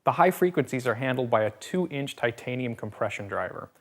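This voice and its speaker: A man giving a polished, scripted product narration as a presenter, with a salesy delivery and punchy quips. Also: medium pitch.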